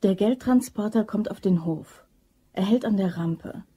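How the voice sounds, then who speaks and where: A woman's voice: mid-range voice, measured pace, dark tone, breathy edge. An actress playing a cool, bossy office woman who masterminds a robbery, clipped and businesslike, in a German crime radio play. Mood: cautious. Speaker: actor.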